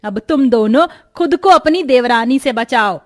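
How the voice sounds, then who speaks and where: A woman's voice: fairly high pitch, lively intonation, unhurried. Stern, scolding mother-in-law. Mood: angry, blaming. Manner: reproachful, lecturing. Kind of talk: Hindi cartoon story dialogue.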